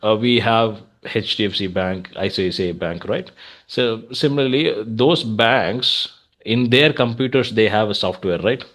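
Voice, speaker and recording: A man's voice: on the low side, moderate pace, a bit bright. A man with an Indian accent chatting casually as an English practice coach, giving feedback and advice in an encouraging way.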